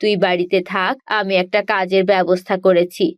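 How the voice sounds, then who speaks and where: Woman acting village mother, poor, kind, determined, adult female, animated fairy-tale drama. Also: medium pitch, fairly quick, clear, resonant tone, dry recording, natural voice. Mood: resolute. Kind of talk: cartoon story acting.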